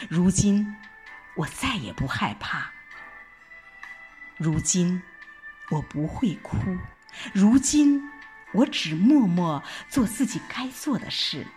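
Female audio drama narrator, reflective and unhurried, highly melodic phrasing, soft music bed. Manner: expressive, reflective recitation with deliberate pauses. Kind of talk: dramatic scripted first-person narration. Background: background music.

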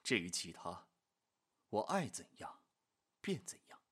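A man playing a cool, guarded imperial official, terse and quietly domineering, in a Chinese costume audio drama. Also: on the low side, very animated, unhurried, breathy edge, heavily acted. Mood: cool, quietly menacing. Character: cool, commanding male imperial court official, possessive and guarded.

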